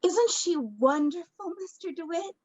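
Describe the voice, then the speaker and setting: An actress playing a naive, starstruck young would-be actress in a radio play, gushing and eager. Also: female voice, very high-pitched, lively intonation, measured pace, a little mellow. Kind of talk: theatrical radio drama acting.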